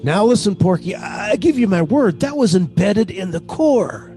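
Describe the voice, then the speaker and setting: A man's voice: high-pitched, animated, moderate pace, warm tone, soft background music. An actor playing a timid, easily spooked young oil-rig man in radio horror drama style, hesitant and folksy. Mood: excited, insistent. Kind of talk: old-time radio horror drama acting.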